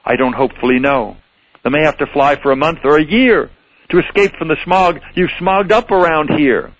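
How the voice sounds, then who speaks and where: A man's voice: fairly high pitch, normal speed, a bit bright, no echo, lo-fi sound. A man voicing a small, grumpy old forest guardian creature, scolding in rhyming verse.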